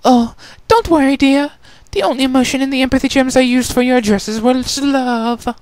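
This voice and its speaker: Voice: sits high, normal speed, darkish tone, no echo, pitched above the performer's own voice, close to an everyday voice. A narrator voicing a refined, theatrical young female unicorn who gushes and erupts in indignation. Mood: reassuring. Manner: gushing, dramatic, prone to indignant outbursts.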